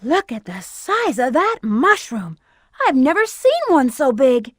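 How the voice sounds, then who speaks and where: A woman's voice: high-pitched, big pitch swings, measured pace, no room sound, clean studio sound, heavily acted. A woman voicing a sly, playful bat treasure hunter in a video game, teasing and breezy. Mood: surprised.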